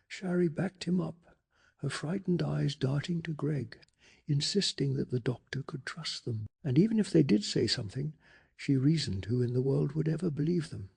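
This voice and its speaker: Soft, husky male ASMR storyteller. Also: a little high, animated, moderate pace. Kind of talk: soft-spoken ASMR bedtime story narration.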